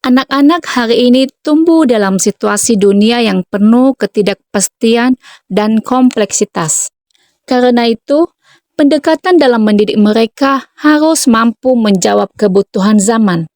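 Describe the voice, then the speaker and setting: A woman from North Sumatra who teaches preschool, reading a prepared account in a measured, earnest way. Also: on the high side, no room sound, studio quality. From North Sumatra, Indonesia. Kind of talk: scripted narration, reading a prepared text.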